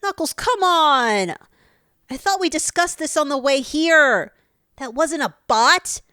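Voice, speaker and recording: A woman's voice: high voice, lively intonation, takes it slowly, sharp tone, no room sound, studio quality, full character voice. A woman playing an earnest, sensible young cartoon animal sidekick in a fan audio drama, given to worry. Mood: worried. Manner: pleading, sensible.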